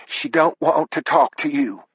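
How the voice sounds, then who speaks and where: Man voicing a high, scolding older Southern woman. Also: measured pace, a bit bright, husky, dry recording, lo-fi sound. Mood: indignant scolding. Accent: American South. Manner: scolding, self-righteous. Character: sour, scolding older Southern mother-in-law.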